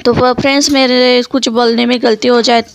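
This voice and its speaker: High-voiced woman, gravelly edge. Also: level tone, normal speed. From India. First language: Marathi. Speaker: home vlogger. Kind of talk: casual home tour narration. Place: small one-room home, indoors. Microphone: handheld phone.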